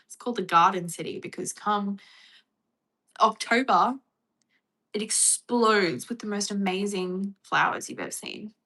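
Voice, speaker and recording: Australian woman, casual streamer, bright forward voice, chatty with viewers. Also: medium pitch, rough recording. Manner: chatty, reads and answers viewer comments. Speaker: livestream host. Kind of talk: casual livestream chat.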